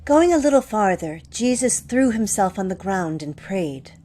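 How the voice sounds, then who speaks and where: A woman narrating a gospel passage in a church dramatic reading, calm and steady. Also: mid-range voice, a little slow. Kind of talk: scripture narration. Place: church sanctuary.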